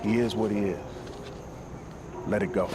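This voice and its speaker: Male actor as weary veteran soldier, lowish voice, matter-of-fact, video game cutscene, strong background rumble. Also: steady tone, a little slow, close to an everyday voice. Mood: grim, matter-of-fact. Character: seasoned, weary male soldier telling grim past missions. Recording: loud vehicle and outdoor noise behind.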